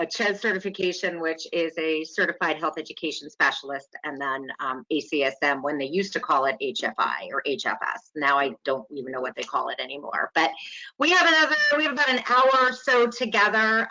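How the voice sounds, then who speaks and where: A woman's voice: mid-range voice, sharp tone. A woman from New York presenting a webinar lecture in a chatty, welcoming way over an online call. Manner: chatty and welcoming, with small laughs. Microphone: online call audio. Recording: dull lo-fi web call audio.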